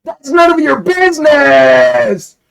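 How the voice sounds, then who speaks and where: A man's voice: extremely high pitch, slow pace, gravelly, a bit bright, pitched above the performer's own voice, exaggerated voice. A man voicing a red-faced, vengeful schemer in a comic story, indignant and explosive. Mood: furious. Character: furious, red-faced, growling male schemer out for revenge.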